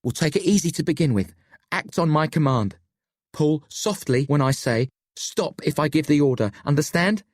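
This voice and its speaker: Narrator doing bossy worried boy taking charge in an audiobook, urgent, higher pitch. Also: lively intonation, normal speed, a little mellow, no room sound, clean studio sound, close to an everyday voice. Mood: commanding. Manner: stern, urgent, soothing by effort. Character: wary, bossy boy trying to keep control.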